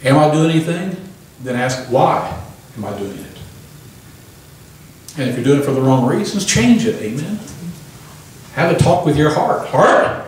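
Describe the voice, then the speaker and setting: A man's voice: fairly high pitch, expressive, measured pace, pauses often, a little hiss or hum. Older man preaching in an echoey hall. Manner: emphatic preaching with call-and-response amens. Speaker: pastor. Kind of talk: sermon. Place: small church hall, indoors. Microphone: handheld microphone. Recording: echoey room from a distant camera.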